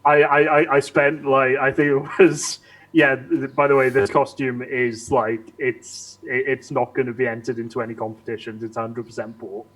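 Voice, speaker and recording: A young man chatting casually on a live stream, rambling along in a halting way with lots of restarts. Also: mid-range voice, level tone, a bit bright, poor audio.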